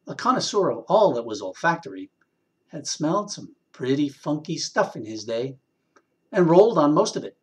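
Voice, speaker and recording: A man reading a short story aloud in an expressive, wry storyteller's manner with deliberate pauses. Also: a little high. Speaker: story reader, actor.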